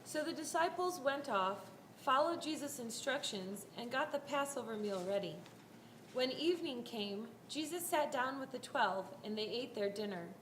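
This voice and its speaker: A woman's voice: high voice, expressive, moderate pace, fairly bright tone. A woman narrating a scripted scripture story as part of a reader's theater performance. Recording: echoey room, faint background noise.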